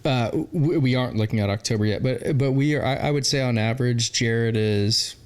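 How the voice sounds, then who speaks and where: A man's voice: mid-range voice, fairly quick, clear, resonant tone. A forty-year-old man answering interview questions as a brewery founder, candid and rambling, with lots of fillers and laughs. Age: 40s.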